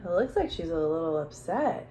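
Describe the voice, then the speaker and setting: A woman's voice: low voice, very animated, normal speed, warm tone, slight background noise. A woman teacher reading a children's storybook aloud, expressively, with questions and asides addressed straight to young listeners.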